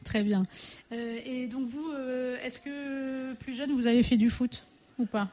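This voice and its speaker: A woman's voice: high-pitched, steady tone, measured pace, mellow. A woman hosting an informal radio interview, polite and hesitant, phrasing her questions in a roundabout way.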